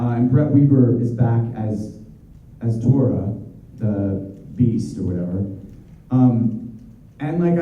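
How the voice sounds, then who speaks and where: A man's voice: mid-range voice, warm tone. A man in his thirties answering fan questions at a convention panel in a chatty, rambling way with many fillers and restarts. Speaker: voice actor at convention Q&A. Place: convention hall panel room. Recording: echoey hall from far off, muffled.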